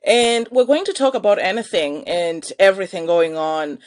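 A woman's voice: medium pitch, moderate pace. Chatty woman podcast host. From Malawi. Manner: chatty, animated storyteller who laughs often. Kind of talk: casual solo podcast monologue.

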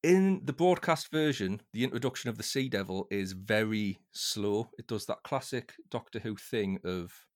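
A man's voice: fairly low pitch, normal speed, hardly any pauses. A man speaking as a podcast guest, casually discussing a book in an informal, explanatory way.

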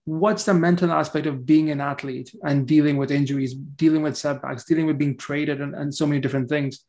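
A male podcast host with a somewhat high voice and a gravelly edge. He builds long, considered questions before handing the floor to his guest. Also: steady tone, a little fast, darkish tone. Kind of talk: interview questions.

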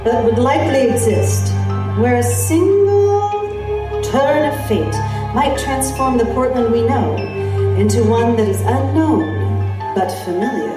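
A woman's voice: a little high, animated, takes it slowly. A woman reading a dramatic scripted introduction to a drama series. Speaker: announcer. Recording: very echoey, distant, loud music behind.

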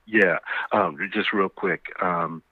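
Low-voiced man on a phone line. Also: fairly even intonation, slow pace, husky, dry recording. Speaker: stage play cast member, panel guest. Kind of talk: conversational, informative interview talk. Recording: muffled phone-like radio line.

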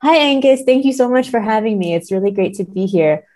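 A woman's voice: fairly high pitch, lively intonation, fairly quick, darkish tone. A woman, an academic guest on a podcast, talking in an articulate, scholarly way. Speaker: academic guest, professor and literary translator. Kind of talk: podcast interview, explaining research.

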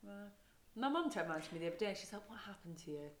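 A woman's voice: medium pitch, very animated, racing, echoey room. A podcast co-host, a woman, chatting casually, laughing often and telling rambling stories. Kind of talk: casual chat.

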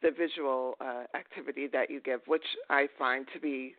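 A woman's voice: rumbling low, steady tone, moderate pace, no echo. Woman host on a dry phone line. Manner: friendly, hesitant questions with ums. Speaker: podcast host. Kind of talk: interview questions.

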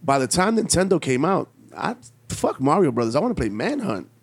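A man's voice: a little high, very animated, a little fast, breathy edge. A man in his late thirties talking bluntly in a casual podcast chat, swearing freely and hammering his points home. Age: 30s. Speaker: podcast co-host.

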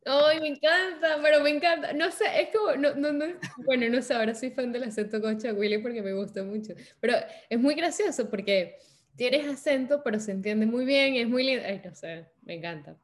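A woman from Venezuela, interviewing a guest in a warm, effusive, casual way. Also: on the high side, fairly quick. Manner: warm, effusive, laughs easily.

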